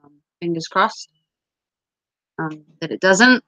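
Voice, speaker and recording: A woman from the UK with a cold, wheezy and clearing her throat, chatting casually and rambling on a livestream with self-mocking jokes. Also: on the low side, animated, takes it slowly, pushed and bright, no echo. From United Kingdom. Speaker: livestream host. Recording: dull lo-fi sound.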